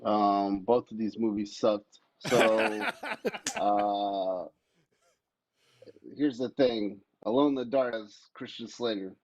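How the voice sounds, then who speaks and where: A man's voice: on the low side, fairly even intonation, takes it slowly, no room sound. A man giving casual, blunt and emphatic commentary as a podcast panelist, swearing freely.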